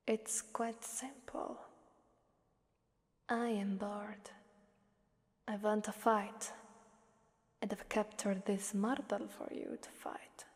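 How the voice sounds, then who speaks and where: A haughty, sadistic demon mistress of a hellish arena, acted by a woman, speaks in a slow, whispery ASMR roleplay voice. She taunts and commands in a soft breath, with long cavernous reverb around her words. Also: mid-range voice. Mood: bored, menacing.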